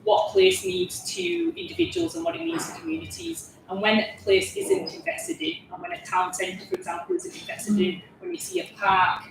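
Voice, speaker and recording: A woman's voice: very high voice, normal speed, few pauses, a little hiss or hum. Chatty Yorkshire woman, far from the mic. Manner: chatty, laughs often. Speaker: prospective parliamentary candidate. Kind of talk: panel introduction. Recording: echoey and distant from the mic.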